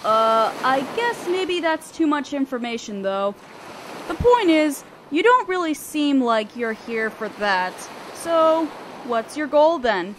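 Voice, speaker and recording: A woman's voice: high-pitched, very animated, takes it slowly, exaggerated voice. A woman playing a guarded young female selkie in a roleplay audio drama, aloof and hesitant yet curious as she talks to the listener. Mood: wary, curious. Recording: ocean waves and wind behind voice. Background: surf, waves and wind sound effects.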